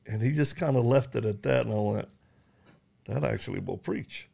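A man's voice: medium pitch, moderate pace, gravelly, dark tone. A man hosting a conversation, telling a story earnestly and quoting others, with frequent restarts. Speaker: host. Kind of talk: conversational interview talk.

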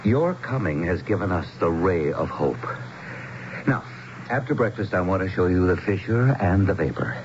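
An actor playing an earnest, anxious, educated town leader, speaking formally and haltingly as he explains, in a radio drama. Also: deep voice, animated. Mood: earnest, eager. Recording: muffled, noisy 1970s radio broadcast.

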